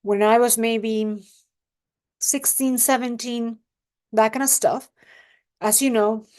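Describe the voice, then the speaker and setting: Slow-talking woman coach. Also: on the high side, steady tone, a little mellow. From Mexico. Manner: unhurried and reflective, often asks the listener "right?". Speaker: relationship coach. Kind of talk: casual advice monologue to camera.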